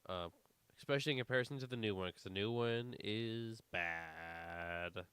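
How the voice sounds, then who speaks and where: A man's voice: fairly low pitch, normal speed, fairly bright tone, clear, resonant tone, dry recording. A man co-hosting a casual podcast, rambling with lots of fillers, a little congested. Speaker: podcast co-host. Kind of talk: casual chat.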